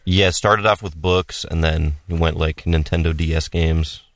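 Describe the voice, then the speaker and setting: A young man co-hosting a casual radio show, with a deep baritone voice and deadpan jokes. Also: normal speed, dry recording, clean studio sound. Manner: deadpan, joking. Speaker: radio co-host.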